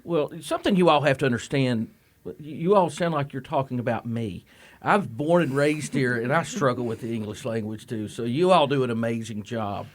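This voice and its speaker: A high school principal talking on a local radio show. He is upbeat and chatty, and he encourages his student guests warmly while keeping the conversation easy and friendly. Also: normal speed. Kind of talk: casual radio talk, interviewing students. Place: radio studio.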